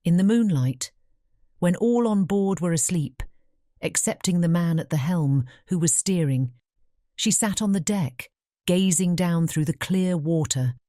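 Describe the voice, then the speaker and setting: A woman with a British accent reading a fairy tale as a measured audiobook narrator. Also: lowish voice, warm tone, no echo, clean studio sound. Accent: British English.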